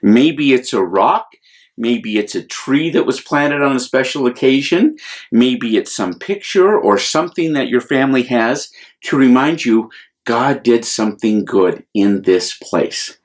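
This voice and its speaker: Male priest doing kids bible storytime, slow and gentle, simple words. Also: medium pitch, lively intonation. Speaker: priest telling children's Bible stories. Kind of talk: children's storytime with song and blessing.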